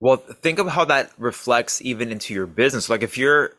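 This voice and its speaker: A Canadian man co-hosting a podcast, talking in a relaxed, easygoing way with lots of fillers. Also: medium pitch, fairly quick, no echo, clean studio sound. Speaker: podcast co-host. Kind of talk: casual podcast chat.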